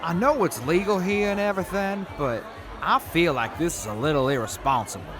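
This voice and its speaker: A man's voice: a little high, fairly quick, over music, crowd noise, lightly acted. A man doing a fussy, careful caretaker. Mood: concerned. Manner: careful, mildly disapproving. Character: cautious caring man, fussy. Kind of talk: audio drama acting.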